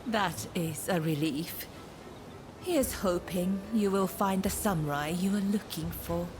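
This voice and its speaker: A woman's voice: fairly low pitch, unhurried, over music, natural voice. An actress playing a poised, teasing woman who trades favors in a video game, speaking politely and coyly. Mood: coy, composed. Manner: coy, polite, formal phrasing. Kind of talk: video game cutscene acting.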